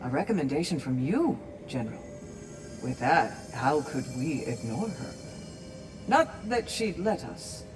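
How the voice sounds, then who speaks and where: An actress playing a brisk, good-humoured female warrior commander in a video game, with a wry manner. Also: sits low, big pitch swings, over music. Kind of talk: video game character acting. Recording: distant voice over noisy game ambience.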